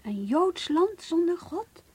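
A curious young believer in a radio drama. Her voice is very high, with a polite, questioning innocence. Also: sing-song, slow, a little mellow, heavily acted. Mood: astonished. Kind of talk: Dutch radio play dialogue.

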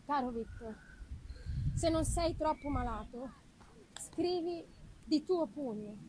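A woman voicing an affectionate, anxious young gentlewoman in a theatrical, unhurried reading of a letter from a gothic novel. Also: sits high, slight background noise, low-quality recording. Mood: anxious, pleading. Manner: expressive, unhurried dramatic delivery with pauses. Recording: live music behind the voice.

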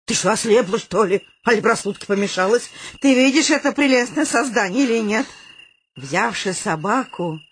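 An actress playing an excitable, domineering old lady in a comic radio play, with a theatrical, bossy, exclaiming delivery. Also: on the high side, moderate pace, slight background noise, close to an everyday voice. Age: older.

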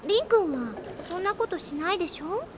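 A woman's voice: very high-pitched, lively intonation, normal speed, heavy background noise. An actress playing a bubbly, teasing teenage schoolgirl in an anime audio drama, chatty and playful. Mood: embarrassed, pouty.

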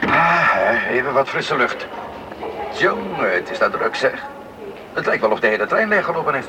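A man's voice: medium pitch, very animated, moderate pace, background noise, natural voice. A man playing an easygoing, helpful father in a naturalistic radio drama, a little hesitant and chatty. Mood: relaxed. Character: easygoing, helpful father travelling with wife and son.

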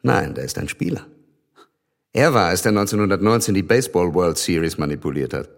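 An actor playing a wealthy, nonchalant man-about-town in a radio play, husky and affable with a knowing manner. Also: low-pitched, animated, a little fast. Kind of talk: German radio play acting.

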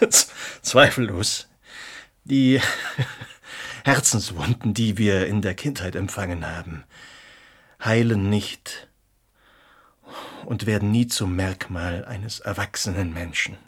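An actor playing an ailing, haunted writer in a radio play, halting and hesitant in a husky, breathy voice. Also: male voice, on the low side, full character voice. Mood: wounded, earnest. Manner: halting, hesitant, breaking into soft laughs. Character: ailing, haunted male writer, fearful yet fervent.

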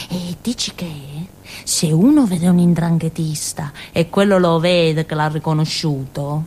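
An actress playing a naive, simple-minded young village woman in a theatrical monologue, with a southern Italian Calabrian accent and a rambling, childlike way of talking full of little grunts. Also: medium pitch. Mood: naive, secretive. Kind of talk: theatrical first-person monologue in colloquial dialect-tinged Italian.

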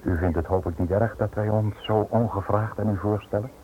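Male actor as suave foreign caller, radio drama, courteous, sly, insistent, low-pitched, phone-like sound. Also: fairly even intonation, brisk, natural voice. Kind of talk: radio play acting. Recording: tinny, noisy old radio drama recording.

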